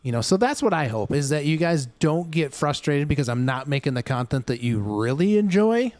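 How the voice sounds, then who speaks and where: A man's voice: a little high, animated, normal speed, darkish tone, no room sound. A man hosting a casual gaming livestream, talking to his viewers in a rambling way with many fillers and restarts. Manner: chatty, talks straight to chat viewers.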